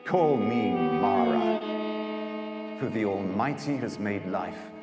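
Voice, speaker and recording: A man voicing a bitter, grieving older widow in a slow, emphatic lament from a Bible story. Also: low-pitched, very animated, loud music behind the voice, exaggerated voice. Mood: bitter, anguished. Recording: echoey hall, long reverb.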